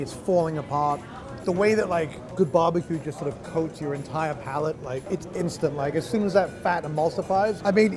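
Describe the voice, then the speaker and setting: A man with a high voice hosts a food show and talks through each bite of barbecue with keen, descriptive enthusiasm. He is recorded outdoors in a city, with music playing clearly behind him. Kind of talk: food tasting commentary.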